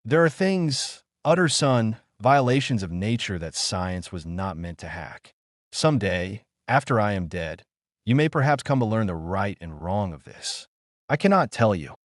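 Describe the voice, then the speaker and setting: A man plays a dying older doctor in a noir audiobook. His voice is weak and unsteady, swinging in pitch, full of bitter resignation and dread. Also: very animated, measured pace, dry recording, clean studio sound. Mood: shaken, bitter.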